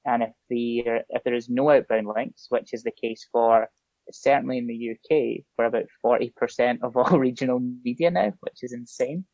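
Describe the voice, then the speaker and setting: A man's voice: medium pitch, fairly even intonation, moderate pace, darkish tone, dry recording. A man with a Scottish accent, a guest chatting casually on a podcast with a lot of filler ums. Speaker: SEO guest. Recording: dull lo-fi podcast audio.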